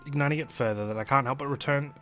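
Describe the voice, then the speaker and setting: Casting: male game narrator, theatrical wry first-person read, quick pace, music underneath. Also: medium pitch, sharp tone. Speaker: let's play narrator. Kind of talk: dramatic first-person visual novel reading.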